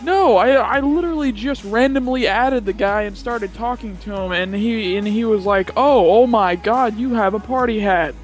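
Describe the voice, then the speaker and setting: A man's voice: high voice, normal speed, hardly any pauses, faint music behind. A young man chatting casually as he tells a gaming story, giggly and rambling, often repeating himself. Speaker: gamer telling a story.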